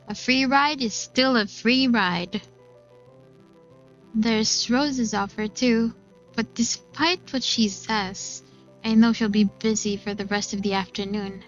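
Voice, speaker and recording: Higher-voiced woman reading a story aloud. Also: steady tone, slow pace, fairly bright tone, highs cut off. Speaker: gaming streamer. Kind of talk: reading visual novel narration aloud with reactions. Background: faint game music.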